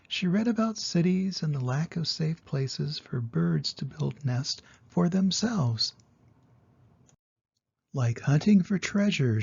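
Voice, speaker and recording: Older grandpa guy reading a kids story, mellow husky voice, kinda gentle, bit of a dull home recording. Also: fairly high pitch.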